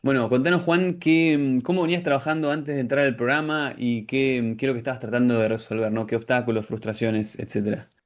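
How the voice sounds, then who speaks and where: A man with a Rioplatense Argentine accent hosts a conversational interview. His voice sits at an ordinary male pitch. He words his questions at length, rephrasing them as he goes. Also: fairly even intonation, quick pace, hardly any pauses. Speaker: interviewer, coach. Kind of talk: conversational client testimonial interview.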